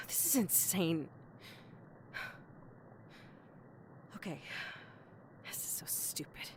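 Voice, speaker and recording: Young female new hire in a horror game: lowish, skeptical, exasperated, noisy audio. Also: big pitch swings, drawn-out words, full character voice. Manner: exasperated, sighing, indignant. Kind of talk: scripted game voice acting.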